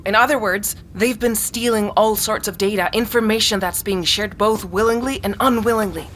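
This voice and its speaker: A woman's voice: medium pitch, steady tone, normal speed, fairly bright tone, close to an everyday voice. Earnest young woman, acted, over background noise. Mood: eager, explaining. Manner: insistent, eager, explanatory. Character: earnest young woman convinced of a tech conspiracy. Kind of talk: naturalistic audio drama dialogue.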